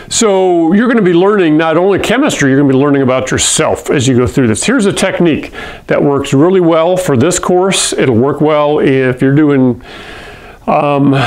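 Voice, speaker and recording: A middle-aged man from Hawaii teaching chemistry to camera in a classroom, talking in a friendly, conversational way and often clearing his throat. He speaks into a lapel mic. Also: a little high. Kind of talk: video lesson to camera. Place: classroom in front of a whiteboard.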